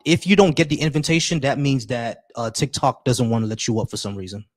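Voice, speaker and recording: A man hosting a casual live stream, relaxed and chatty, greeting viewers with shout-outs and often restarting his sentences. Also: medium pitch, brisk. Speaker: livestream host.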